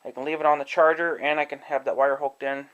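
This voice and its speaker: A man giving a casual, rambling demonstration to viewers, halting and thinking aloud as he talks. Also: on the high side, little pitch movement, fairly bright tone, clean tone, highs cut off. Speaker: amateur video creator demonstrating an app. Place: indoors in own room at home.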